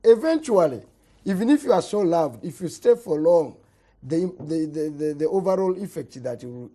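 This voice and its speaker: A man's voice: a little high, expressive, normal speed, dark tone. A middle-aged man with a Ugandan accent, a politician arguing hard in a panel debate, repeating words and letting his voice fall at the end of phrases. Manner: emphatic, repeats words, voice drops at phrase ends. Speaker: politician guest.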